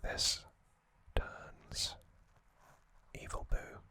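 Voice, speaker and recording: A man delivers an ASMR recap entirely in a breathy whisper. His sound is very bright and sibilant. He moves slowly, in short halting phrases, as he narrates trivia. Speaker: ASMR host.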